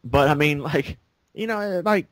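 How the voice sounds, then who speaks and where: A man's voice: a little high, a little slow, no echo. A man co-hosting a casual game review chat, rambling with lots of fillers and laughing often. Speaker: co-host of a game review show. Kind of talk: casual gaming discussion.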